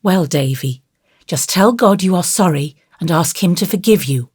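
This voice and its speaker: Lady reading as kind young woman talking to a kid, gentle but serious, storybook style, no echo. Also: on the low side, animated, normal speed, breathy edge, studio quality. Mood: kindly admonishing. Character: Anne, gentle, grave young woman comforting a child. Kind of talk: audiobook character voice.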